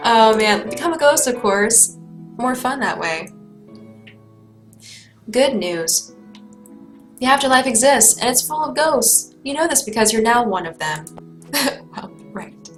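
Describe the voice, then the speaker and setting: Playful woman reading a story on stream, giggles a lot, slightly high voice, soft music behind. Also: animated, measured pace, pauses often. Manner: giggly, playful, laughs between lines. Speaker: streamer reading a choose-your-own-adventure book. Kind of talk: live read-aloud with asides.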